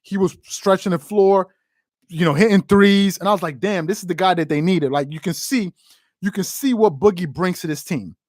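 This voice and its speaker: A man's voice: high voice, moderate pace, darkish tone, no echo. A man from the United States hosting a casual sports talk stream, reading viewer comments and giving emphatic opinions, often repeating phrases. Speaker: sports show host.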